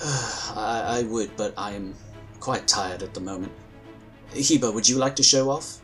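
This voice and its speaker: Man acting a measured psychic agent over music. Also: fairly low pitch, fairly bright tone. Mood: wry. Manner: measured, formal, earnest. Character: composed, dutiful male psychic agent of galactic order. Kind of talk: sci-fi audio drama acting.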